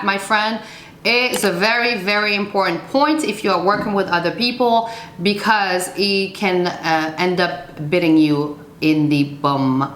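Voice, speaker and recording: A woman's voice: medium pitch, a bit bright. Encouraging Moroccan-born woman in an echoey room. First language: French and Arabic. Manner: upbeat and encouraging, speaks directly to viewer. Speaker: vlogger sharing self-publishing advice. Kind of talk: casual how-to talk to camera. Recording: echoey room with faint noise.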